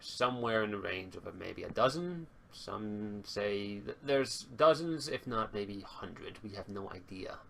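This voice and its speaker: A man playing a secretive, terse informant in a tabletop game, speaking in a clipped, portentous, deliberate way. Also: on the low side, lively intonation, creaky, a bit bright, a little hiss or hum, exaggerated voice. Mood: grave. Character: secretive, terse, stern male informant briefing monster hunters.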